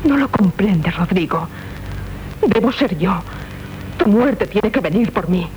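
Through a muffled, noisy old radio tape comes a proud young noblewoman. She grieves and demands justice in solemn, declamatory radio-play style, at a middle pitch. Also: animated, takes it slowly, darkish tone. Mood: anguished. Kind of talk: 1970s Spanish radio drama acting.

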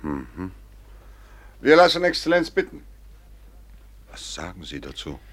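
An actor playing a warm-hearted, outspoken town mayor in a radio play, speaking earnestly. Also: male voice, deep voice, wildly swooping pitch, measured pace, exaggerated voice. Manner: earnest, indignant in defending a victim.

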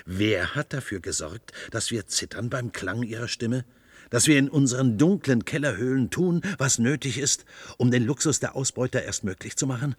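An actor playing a fanatical demagogue in a German radio play, oratorical and grandiose, stirring oppressed workers to revolt with emphatic, stretched stresses. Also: male voice, fairly low pitch, slightly rough, dry recording.

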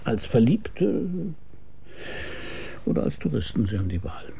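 Hard-boiled detective, gravelly, dark soft tone, dry and laconic, radio play, faint noise. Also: male voice, on the low side, big pitch swings, unhurried, exaggerated voice. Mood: wry, easygoing. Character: wry hard-boiled male private detective. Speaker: lead actor and narrator. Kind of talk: radio crime drama, first-person narration.

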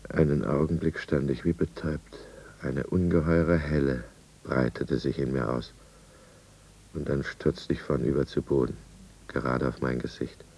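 Very deep, gravelly broken man. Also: fairly even intonation, normal speed, a little mellow, full character voice. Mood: numb despair. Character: broken, bitter middle-aged alcoholic businessman confined in asylum. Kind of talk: 1950s German radio drama, first-person narration. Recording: 1950s radio studio recording.

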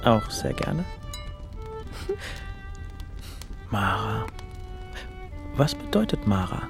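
An actor playing a burnt-out Berlin poet, a gentle, hesitant man who speaks with understatement in dramatic dialogue. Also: on the low side, big pitch swings, slow pace, creaky, music in the background, heavily acted. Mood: tender, hesitant.

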